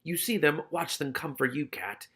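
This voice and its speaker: A man's voice: a little high, expressive, moderate pace, a bit bright, husky. A man voicing a menacing, commanding disembodied spirit voice from a storybook, imperious and taunting.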